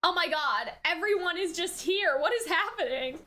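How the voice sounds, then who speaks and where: A woman's voice: very high voice, expressive, normal speed, sharp tone. A woman giving a casual live reaction commentary, exclamatory and slangy, thinking aloud as she goes. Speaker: reaction video host.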